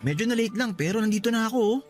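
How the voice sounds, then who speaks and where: An actor playing an evasive, defensive young boyfriend in a Filipino radio drama, with a Filipino accent. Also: male voice, high-pitched, lively intonation, racing, faint music behind.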